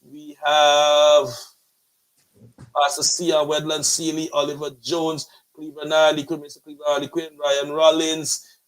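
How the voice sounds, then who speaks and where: A man's voice: fairly high pitch, monotone, unhurried, fairly bright tone, low-quality recording. A man with a Guyanese accent hosting a motivational show like a preacher, with emphatic, flowery, repetitive rhetoric. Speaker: host and pastor of a motivational online show.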